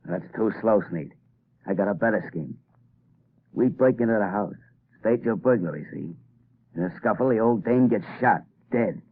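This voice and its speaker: An actor playing a ruthless escaped-convict gangster, blunt, threatening and slangy, in a 1930s radio drama. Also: male voice, fairly low pitch, normal speed, pauses often. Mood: menacing, impatient. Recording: tinny, muffled 1930s radio recording.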